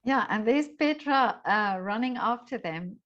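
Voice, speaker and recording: A woman's voice: a little high, lively intonation, measured pace, a bit bright. A woman hosting a casual conversational interview, phrasing hesitantly and repeating words, on a dull lo-fi recording. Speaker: interviewer and host.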